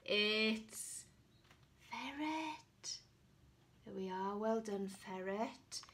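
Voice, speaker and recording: A woman's voice: medium pitch, extremely slow, slight background noise. A woman in her forties reading a picture book aloud to young children, dramatic and coaxing. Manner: animated, dramatic, coaxing questions to listeners. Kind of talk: expressive storybook read-aloud to young children. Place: small room at home.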